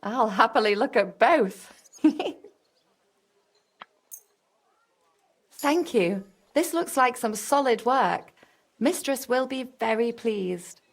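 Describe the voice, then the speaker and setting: A woman's voice: on the high side, lively intonation, slow, exaggerated voice. A woman playing a flirty, cheerful medieval serving woman with a coy, teasing manner in a video game. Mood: flirty, pleased. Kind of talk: naturalistic game dialogue.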